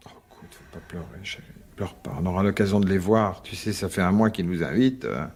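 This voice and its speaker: An actor playing a weary, placating husband in a radio mystery drama, colloquial and sighing, coaxing yet dismissive. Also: male voice, deep voice, quick pace, a little mellow, breathy edge.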